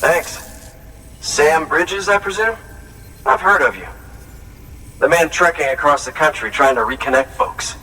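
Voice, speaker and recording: An actor playing an earnest, grateful shelter-born inventor in a video game, speaking in an emphatic, conversational way. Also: male voice, fairly high pitch, lively intonation, unhurried, long pauses, sharp tone, far from the mic, a little hiss or hum, poor audio. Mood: grateful, impressed. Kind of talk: video game cutscene acting.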